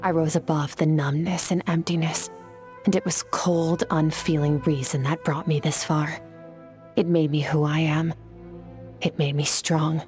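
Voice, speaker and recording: A woman voicing a cold, stoic swordswoman in a video game, stern, detached and measured. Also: low voice, flat delivery, a little mellow, faint music behind. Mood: resolute, detached.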